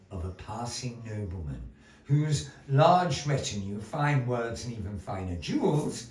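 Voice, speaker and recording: Male storyteller for a puppet show, slow and expressive, picked up from a distance in a reverberant gallery. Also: medium pitch. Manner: slow, theatrical storyteller with lively rises and falls. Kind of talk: storytelling narration to a live audience. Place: gallery space. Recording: echoey gallery room, voice from afar, faint noise.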